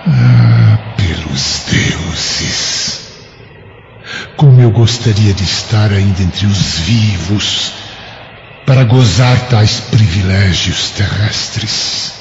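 An actor playing a wistful, worldly wandering ghost in a radio drama, grandiose and sighing, with a gravelly voice. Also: male voice, lowish voice, extremely slow, full character voice. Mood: wistful awe. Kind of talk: radio drama acting, soliloquy. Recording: dull audio with some noise.

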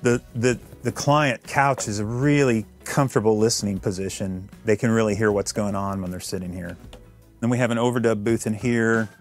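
A man in his fifties from Illinois, giving a relaxed, technical tour of his basement recording studio. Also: lowish voice, normal speed, soft background music. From Illinois, USA.

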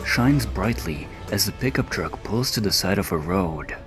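A man's voice: sits low, expressive, normal speed, music in the background. A man reading scripted scene narration for an audio drama.

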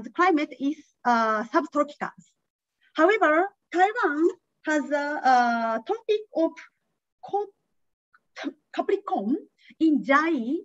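A woman's voice: sits high, a bit bright, no room sound, lo-fi sound. A woman, not a native English speaker, giving an academic conference talk over a video call, with many hesitant fillers. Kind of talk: online academic presentation. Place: indoor room.

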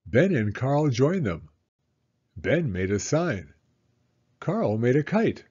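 A man reading a children's storybook aloud as narrator, with expressive, deliberate phrasing and slightly dull sound. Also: medium pitch, slightly rough.

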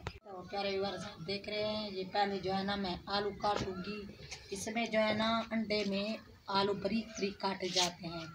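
A middle-aged woman from Pakistan hosting a casual home cooking vlog, chatting to viewers with lots of repeated filler phrases, recorded outdoors in a village courtyard by a camera at a distance. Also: mid-range voice. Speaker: vlog host. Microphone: camera on tripod at a distance. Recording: noisy outdoor camera audio.

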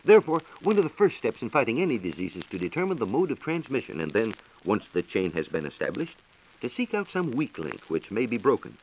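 A man's voice: mid-range voice, very animated, a little fast, poor audio. An American man giving a scripted, formal science talk on 1940s radio, explaining things in a declamatory way. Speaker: science reporter. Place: radio studio. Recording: hissy 1940s radio transcription disc.

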